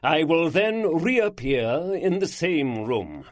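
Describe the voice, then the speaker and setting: A man playing a flamboyant aristocratic lord with a rich baritone and an aristocratic drawl, speaking in slow, grand, emphatic phrases. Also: very animated, no room sound, studio quality. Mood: grandiose.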